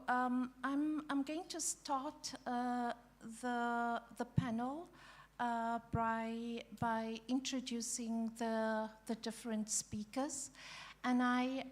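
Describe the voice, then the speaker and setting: A woman chairing a conference panel, speaking haltingly with many restarts and fillers. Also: fairly high pitch. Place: conference hall.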